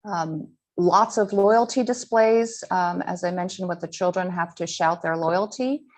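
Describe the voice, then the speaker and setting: A woman's voice: fairly low pitch, darkish tone. Earnest woman presenting slides, many ums. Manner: earnest, halting with frequent ums and restarts. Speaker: guest speaker presenting slides. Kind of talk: online slide presentation to a school audience.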